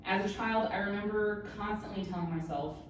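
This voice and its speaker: A woman's voice: mid-range voice, fairly quick. A woman in her thirties gives a personal speech to an audience as an author, candid and wry about herself. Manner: candid, self-deprecating humor. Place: event room with an audience. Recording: echoey room, distant mic, background noise.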